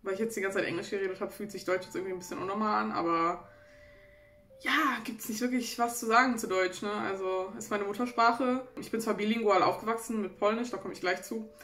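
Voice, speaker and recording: A woman's voice: medium pitch, fairly even intonation, fairly quick, fairly bright tone, roomy sound, faint music behind. A woman in her twenties from Germany with a German accent, chatting casually to camera as a vlogger, self-deprecating and laughing often. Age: 20s. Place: small bedroom indoors.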